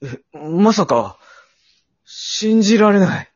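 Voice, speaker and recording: A man acts out a sceptical, flustered father in a comedy radio drama. The voice is husky and jumps around expressively, always ready to protest. The sound is a little dull. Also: high-pitched, very animated, full character voice. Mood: bewildered. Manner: exasperated, quick to protest.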